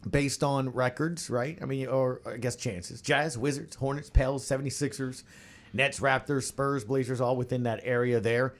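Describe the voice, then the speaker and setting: A man's voice: medium pitch, steady tone, normal speed. A man hosting a sports radio roundtable, chatting casually in short clipped phrases.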